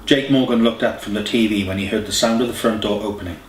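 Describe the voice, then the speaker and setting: A man's voice: lowish voice, quick pace. A man reading a scripted story as an audiobook narrator. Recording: echoey room, distant mic, faint hiss.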